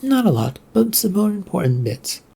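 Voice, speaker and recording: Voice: medium pitch, very animated, moderate pace, creaky, warm tone, husky, pitched above the performer's own voice, exaggerated voice. A storyteller voicing a headstrong, suspicious young princess who is blunt and argumentative. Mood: sarcastic.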